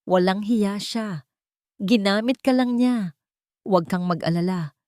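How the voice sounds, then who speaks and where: A woman's voice: medium pitch, a little slow, darkish tone, dry recording, natural voice. Protective young female best friend, acted by a woman narrator, emphatic and emotional, for romantic audio drama; dry recording. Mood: indignant, protective.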